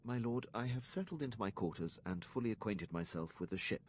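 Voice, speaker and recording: An actor playing a formal, dutiful imperial starship captain in a video game, with stiff, deferential and precise military diction. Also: male voice, on the low side, lively intonation, a little fast, slight background noise, natural voice. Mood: formal, proud. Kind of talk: scripted video game dialogue.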